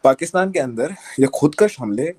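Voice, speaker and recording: A man from Pakistan making his point in an online debate, measured and insisting on orderly turns. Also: fairly high pitch, expressive, breathy edge, no echo, studio quality. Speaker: debate panelist.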